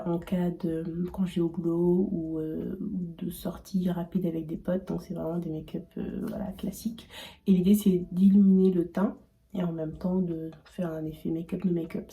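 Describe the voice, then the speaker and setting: A woman's voice: fairly low pitch, dark tone. Chatty young woman with a cold. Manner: chatty, rambling with many fillers and restarts. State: has a cold. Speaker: beauty vlogger. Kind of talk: casual chit-chat while doing makeup.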